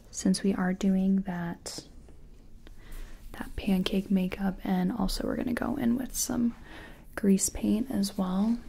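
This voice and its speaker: Soft spoken asmr lady, breathy whispers w lots of fry, mellow, chatty makeup artist roleplay. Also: mid-range voice.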